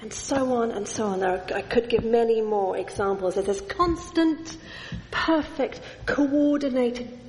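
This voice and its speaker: Slow-speaking woman preaching in echoey church. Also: a little high, a little mellow. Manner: measured, with slow emphatic lists. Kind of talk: sermon.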